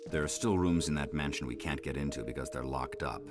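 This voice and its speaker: An actor playing a composed, calculating special-police commander in a video game, speaking in a cool, formal, authoritative way. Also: male voice, extremely low pitch, fairly quick. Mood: cool, controlled. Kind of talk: video game cutscene acting. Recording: music behind the voice.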